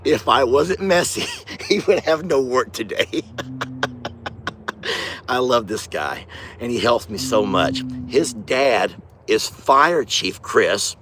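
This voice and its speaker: A middle-aged man who has lost his voice and talks hoarsely. He chats in a folksy way while filming on his farm, speaking softly to his bull. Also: mid-range voice, lively intonation, takes it slowly, noisy background. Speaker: farm vlogger, channel host. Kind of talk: casual outdoor vlog. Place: outdoors on a farm by a bull pen. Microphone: handheld phone camera.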